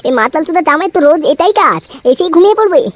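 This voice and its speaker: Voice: very high voice, animated, rapid-fire. A performer voicing a frustrated, foul-mouthed young wife in a comedy cartoon, nagging and complaining in a female voice. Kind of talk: amateur comedy cartoon voice acting.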